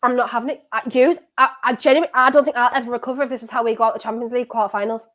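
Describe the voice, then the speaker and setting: Woman streamer, softened Durham accent, higher voice, nervy and rambling, live match reaction. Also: very fast. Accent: County Durham, softened. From North East England. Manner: anxious, excitable, rambling and self-repeating. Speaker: football watchalong streamer.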